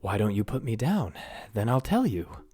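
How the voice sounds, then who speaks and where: A man voicing a tiny young human prince who shouts and scoffs defiantly. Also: on the low side, sing-song, normal speed, husky, voice pushed up. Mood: bargaining.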